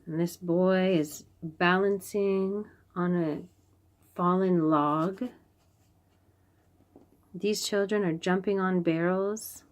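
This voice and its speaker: A woman reading a storybook aloud for young children, expressive and deliberate, stressing key phrases. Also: fairly low pitch.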